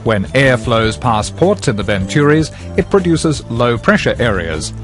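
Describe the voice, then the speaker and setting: A man narrating an educational film in a scripted, measured and precise explanatory manner. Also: medium pitch, big pitch swings, soft background music.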